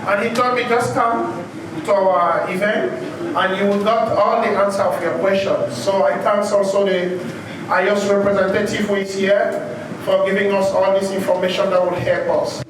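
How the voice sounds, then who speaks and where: A man from Cameroon speaks earnestly to a gathering, thanking people between long anecdotes. His voice sits high. It is picked up from a distance in a very reverberant, noisy room. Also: fairly even intonation, moderate pace. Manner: earnest, grateful, rambling anecdotes. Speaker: safety professional giving a speech. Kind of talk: speech to a gathering. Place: large echoey event space. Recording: echoey far-off room recording, noisy.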